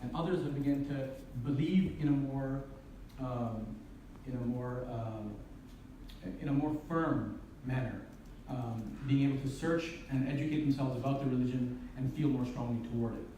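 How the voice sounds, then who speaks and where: A man's voice: medium pitch, moderate pace, mellow. A man from Canada giving a public lecture, speaking earnestly, posing rhetorical questions and restarting his phrases. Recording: very echoey hall from far off, faint hiss.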